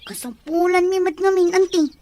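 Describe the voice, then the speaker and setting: A woman's voice: extremely high pitch, steady tone, normal speed, dark tone, slight background noise. A woman playing a young Filipino student daughter in a radio drama, pleading plaintively with an Ilocano accent.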